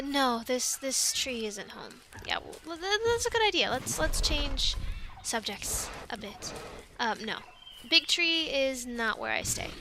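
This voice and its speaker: A woman playing a plucky young island castaway in an ASMR roleplay, chatting in an animated, halting, stammering way. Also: sits high, a little hiss or hum, exaggerated voice. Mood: chatty, explaining. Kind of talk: ASMR roleplay, conversational one-sided dialogue.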